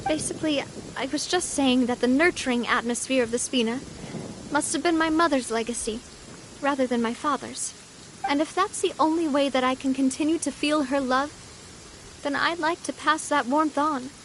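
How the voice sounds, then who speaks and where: A woman voicing a cheerful, warm young woman who leads a close group in a video game, friendly and reflective, laughing easily. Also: high-pitched, normal speed, slight background noise. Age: young adult. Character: cheerful young noblewoman, gang leader, warm. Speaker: leader of a group.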